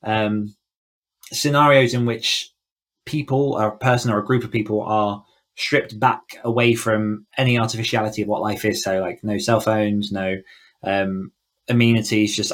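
A man speaking casually and reflectively as an interview guest, feeling out his phrasing in a thoughtful way. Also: lowish voice. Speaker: guest author on a podcast.

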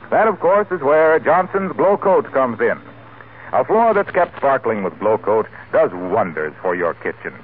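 An American man working as a 1940s radio announcer, reading scripted introductions and advertising copy. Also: mid-range voice. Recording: tinny, hissy 1940s radio broadcast.

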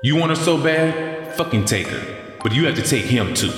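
A man's voice: mid-range voice, lively intonation, normal speed, in a large hall, over music, close to an everyday voice. An actor playing a grieving, furious man fixated on a lost necklace, speaking harshly and accusingly. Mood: bitter, angry. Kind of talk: audio drama acting.

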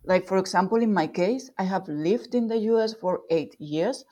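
A woman's voice: medium pitch, normal speed, mellow. A woman with a Spanish accent, a researcher being interviewed, explaining her work thoughtfully with fillers and restarts. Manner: thoughtful, with fillers and self-corrections. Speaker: guest researcher.

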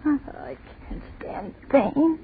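An actress playing a terrified young woman in 1940s radio horror style, halting and pleading as she repeats herself in desperation. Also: sits high, animated, exaggerated voice. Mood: pained, faltering. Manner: halting, repetitive, pleading speech. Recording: scratchy, tinny 1940s radio broadcast.

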